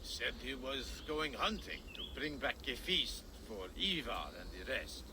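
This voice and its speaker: A man acting a gruff, sardonic, grumbling Viking in a video game, with a gravelly edge. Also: medium pitch, a little slow, background noise. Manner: sardonic, complaining, animated.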